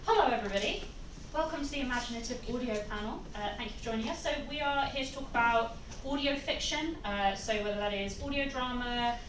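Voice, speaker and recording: A woman's voice: fairly high pitch, fast. Echoey, noisy recording of a woman moderating. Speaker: panel moderator. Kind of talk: hosting a convention panel. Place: convention panel room. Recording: echoey, noisy room, distant mic.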